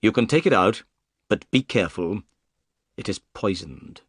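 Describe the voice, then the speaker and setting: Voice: low-pitched, animated, normal speed, breathy edge, dry recording, clean studio sound. A reader voicing a grave detective who speaks in short, firm statements. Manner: firm, curt. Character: grave, commanding male detective.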